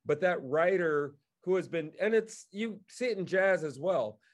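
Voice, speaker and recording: A man talking casually as he tells stories, in an animated way, acting out remarks that people made. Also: sits high, measured pace. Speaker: guest co-host and theatre director. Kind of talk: casual storytelling in conversation.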